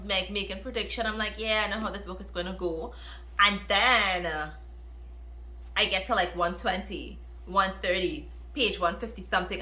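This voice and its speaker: A woman chatting casually to viewers as a book reviewer, with an emphatic, expressive manner that repeats phrases for emphasis. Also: medium pitch. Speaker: book reviewer, video host. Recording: roomy, echoey room.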